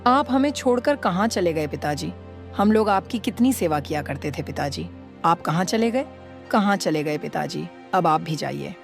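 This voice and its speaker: A woman's voice: on the low side, expressive, normal speed, creaky, faint music behind. A woman playing a scheming, complaining young daughter-in-law in a cartoon story. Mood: mock wailing. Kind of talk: Hindi cartoon moral story dialogue.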